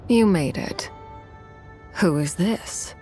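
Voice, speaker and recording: An actress playing a composed, guarded female high-ranking officer in a video game, speaking in a measured, formal way. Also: expressive, warm tone, faint music behind.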